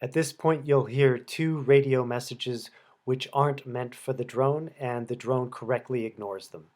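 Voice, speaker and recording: Man giving a measured demo narration. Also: medium pitch, darkish tone. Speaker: presenter acting as air traffic controller. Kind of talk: scripted demo narration with radio phraseology.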